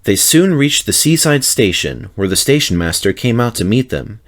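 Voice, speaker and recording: A man's voice: mid-range voice, big pitch swings, moderate pace. A man reading a children's story aloud as narrator, in a scripted, dramatic storytelling style.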